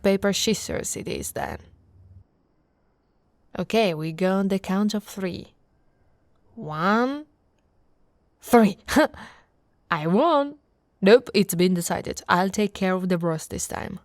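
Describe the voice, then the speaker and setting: A woman from Italy playing an affectionate, teasing female orc warrior in an intimate, soft-spoken ASMR roleplay. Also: medium pitch, lively intonation, slow pace, vocal fry, long pauses, no room sound. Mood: teasing, competitive. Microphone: close, dry microphone.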